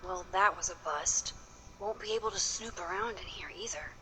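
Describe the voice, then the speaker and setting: An actress playing a young woman investigator in a video game, asking quiet, probing questions. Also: lowish voice, normal speed, noisy background, close to an everyday voice.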